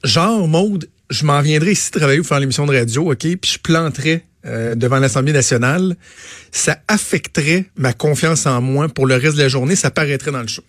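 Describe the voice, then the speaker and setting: A man's voice: a little high, fairly quick, no room sound, clean studio sound. A man in his late thirties with a Québécois accent, co-hosting a radio show in a casual, colloquial chat style. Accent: Québécois French. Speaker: radio co-host.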